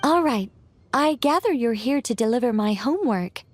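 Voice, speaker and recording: A woman voicing a composed, whimsical witch mage in a video game, chatty and genteel with a gently teasing manner. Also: on the high side, expressive, moderate pace, dry recording. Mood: explanatory, airy. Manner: chatty, playful, genteel storyteller. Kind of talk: animated game voice acting. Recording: music playing behind the voice.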